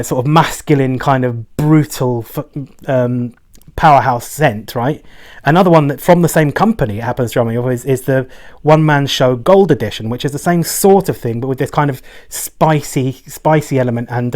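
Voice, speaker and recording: Male fragrance vlogger with mid-range pitch, easygoing and rambling, conversational tag questions throughout. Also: a little mellow. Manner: rambling and chatty, often tagging "right?". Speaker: fragrance reviewer. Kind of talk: casual review vlog.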